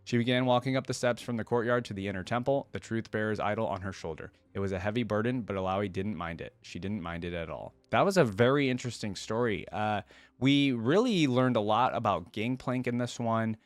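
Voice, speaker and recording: A man's voice: lowish voice, fairly quick, no echo. A man hosting a reaction video, reading a fantasy story aloud and breaking off into casual, slangy commentary. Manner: informal, slangy asides.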